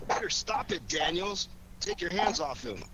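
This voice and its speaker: An actor playing a wry, confident, commanding amateur detective in a 1940s radio crime drama. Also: male voice, high-pitched, animated. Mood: firm. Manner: wry, quick-witted, commanding. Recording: noisy old 1940s radio recording.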